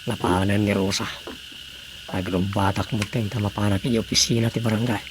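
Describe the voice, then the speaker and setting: A man's voice: a little high, creaky, darkish tone. A man playing a gossiping, grumbling villager in a radio drama. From Philippines. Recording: hissy noisy radio recording.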